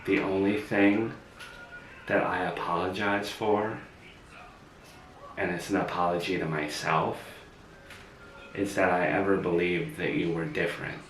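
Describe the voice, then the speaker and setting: A man playing a hurt, guarded young woman who speaks quietly and bitterly in a comedic skit. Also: sits low, fairly even intonation, a little slow, long pauses. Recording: roomy, distant mic, faint hiss.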